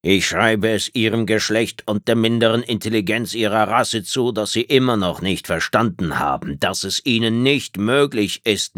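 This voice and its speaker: A man's voice: lowish voice, fairly bright tone, no echo, clean studio sound, voice pushed up. A man voicing an icy reptilian housekeeper. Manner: slow, icy, condescending. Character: cold formal female reptilian housekeeper.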